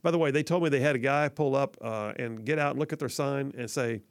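A man's voice: medium pitch, fast, dry recording, clean studio sound. A man from hosting a talk radio show, chatty and folksy, reading listener messages and doing ad reads. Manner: folksy and chatty, frequent fillers and restarts. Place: radio studio. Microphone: studio microphone.

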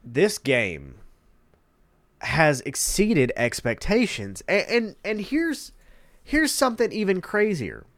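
Man, congested, emphatic, lively swooping pitch, dry close sound. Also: slow, no room sound. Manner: emphatic, repeats words for emphasis. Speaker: gaming commentary host. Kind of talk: casual commentary reading articles.

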